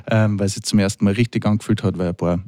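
Relaxed Austrian man, rambling musician. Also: fairly low pitch, a little fast, dry recording, studio quality. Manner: relaxed, rambling, many fillers. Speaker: musician guest. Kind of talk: casual interview talk.

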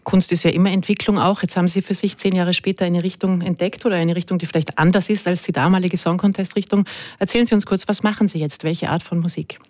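A woman presents a radio show with a clear, measured delivery. Her voice sits low for a woman. The studio sound is dry and close, with almost no room behind her. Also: fairly even intonation, a little mellow, dry recording. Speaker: radio host. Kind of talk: radio interview.

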